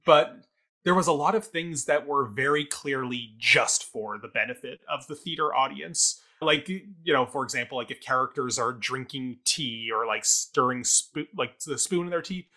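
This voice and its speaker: A man in a casual review discussion, with a medium-pitched voice and a bright, forceful edge. He works through his points analytically, tentatively qualifying them as he goes. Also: moderate pace. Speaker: theatre review podcast co-host.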